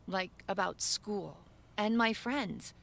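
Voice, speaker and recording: A woman giving measured, subdued first-person narration for an animated story video. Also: medium pitch, creaky, a crowd in the background.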